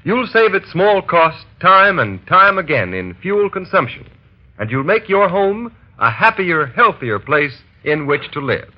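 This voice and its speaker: A man's voice: fairly high pitch, very animated, measured pace. A male announcer reading a script in emphatic, formal 1930s radio style. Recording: muffled old radio broadcast.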